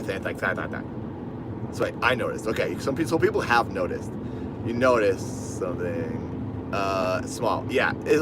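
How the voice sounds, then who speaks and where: A man with a lisp chatting casually on a livestream from his car while driving, rambling and reading out donations. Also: lowish voice. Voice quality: lisp on S sounds. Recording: car road noise behind.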